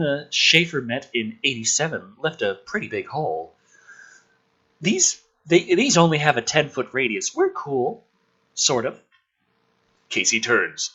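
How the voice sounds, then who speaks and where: A man's voice: mid-range voice, sharp tone, slightly rough. A man dramatically reading a script aloud as host, with sarcastic, sweary asides thrown in.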